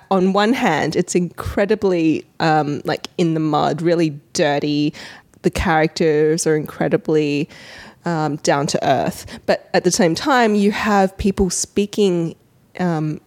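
A woman's voice: deep voice, darkish tone. A woman co-hosting a casual podcast, speaking with emphatic enthusiasm and articulate, descriptive phrasing. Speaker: podcast co-host.

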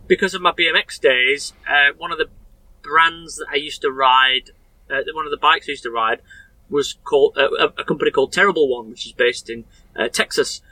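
A man's voice: a little high, fairly quick, sharp tone, poor audio. A man in his thirties from Sheffield with a Yorkshire accent, a guest in a casual chat, rambling and self-deprecating, often restarting his sentences. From Sheffield, England. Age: 30s.

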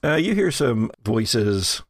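A man running a tabletop game, narrating off the cuff and often pausing to find his words. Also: mid-range voice, sing-song, dry recording, studio quality. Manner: halting, searching for words. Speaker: game master.

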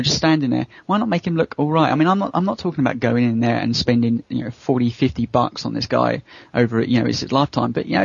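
A man's voice: mid-range voice, creaky, breathy edge, no echo. A man hosting a casual gaming podcast, rambling through his opinions in a conversational way. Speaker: podcast host. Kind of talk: casual panel chat about gaming. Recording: dull lo-fi podcast audio.

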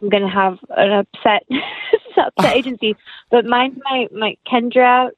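A woman's voice: fairly high pitch, measured pace, dry recording, narrow, tinny audio. A woman speaking as an interview guest in an earnest, encouraging, conversational way.

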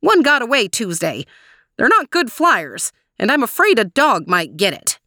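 A woman voicing a suspicious, hard-of-hearing old woman in a storybook, with a strong, high, screechy voice and a curt manner. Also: sing-song, normal speed, bright, forceful tone, no echo, clean studio sound, exaggerated voice. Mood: wary. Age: older. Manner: curt, accusing questions. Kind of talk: animated storybook character voice.

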